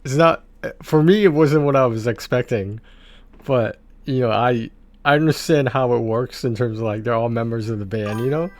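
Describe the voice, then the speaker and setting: A man chatting casually on a game livestream, talking in a rambling, halting way and often repeating his words. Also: mid-range voice, dry recording.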